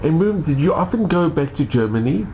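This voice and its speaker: A man's voice: fairly high pitch, big pitch swings, quick pace, warm tone, a little hiss or hum. A man interviewing an elderly woman, asking brief, attentive questions.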